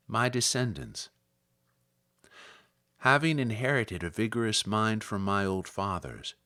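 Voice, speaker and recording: Slow, measured man reciting poetry. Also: medium pitch, a bit bright, dry recording, clean studio sound. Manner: measured, solemn delivery with deliberate phrasing. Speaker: poetry reader.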